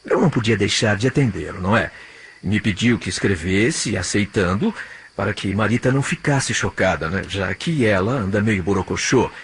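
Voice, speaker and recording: A man's voice: lowish voice, lively intonation, moderate pace, slight background noise. A man playing a cynical, heartless young man in an audio drama, casual and mocking. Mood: smug, casual.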